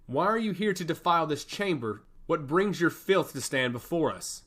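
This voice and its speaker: A man's voice: a little high, lively intonation, moderate pace, fairly bright tone. A man voicing a haughty, rude female royal vizier in an audio fantasy story, full of contempt. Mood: contemptuous.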